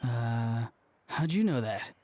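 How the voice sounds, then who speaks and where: Young game adventurer, medium-pitched male voice, laid-back, mischievous, teasing, a little awkward. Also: animated, slow, darkish tone, faint music behind. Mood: sheepish. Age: young adult. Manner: casual, teasing. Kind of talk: video game voice acting.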